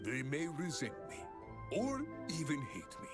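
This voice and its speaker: A hearty, theatrical guild leader from a video game, speaks in florid, grandiose speeches. His somewhat high voice swings dramatically up and down. Music plays clearly behind him. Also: wildly swooping pitch, measured pace. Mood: rousing, grandiose. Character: hearty, long-winded male adventurers' guild leader. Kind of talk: video game character dialogue.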